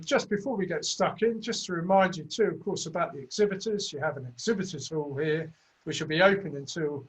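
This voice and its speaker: A man's voice: fairly high pitch, fairly bright tone, husky, low-quality recording. Chatty man hosting an online festival. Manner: chatty, many ums and uhs. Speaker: festival host. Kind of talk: online panel introduction.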